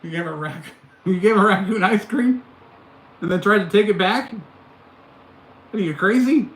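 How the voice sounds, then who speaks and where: A man's voice: high-pitched, unhurried, fairly bright tone. A middle-aged man hosting a casual live stream, chatting with viewers and reacting to their comments with amused disbelief. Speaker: livestream host. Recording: echoey room, faint background noise.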